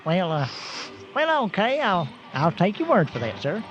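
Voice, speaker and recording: A high-voiced country film-show host with a twang, courteous and easily flustered. His pitch swoops about as he stammers through a comedy radio bit, on a dull recording with music behind. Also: huge pitch swings, normal speed. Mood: flustered, chatty. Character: folksy, flustered rural film-show host, polite and old-fashioned.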